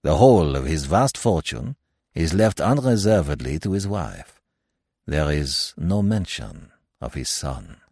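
A man voicing a courteous French examining magistrate in an audiobook mystery, speaking in a formal, deliberate, polite way. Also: a bass voice, big pitch swings, darkish tone, husky, no room sound, studio quality, heavily acted. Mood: formal, probing. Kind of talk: acted dialogue in audiobook mystery.

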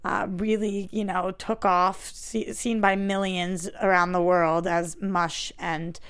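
A woman with a raspy voice, chatting casually as a podcast guest and poking fun at herself. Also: fairly low pitch, little pitch movement, slow, a bit bright. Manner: self-mocking, chatty. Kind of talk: casual chat.

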